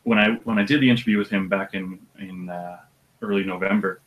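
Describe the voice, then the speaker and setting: An audiobook narrator with a deep voice, talking about his work in a relaxed, thoughtful way with some hesitation. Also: fairly quick, highs cut off. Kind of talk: casual interview chat.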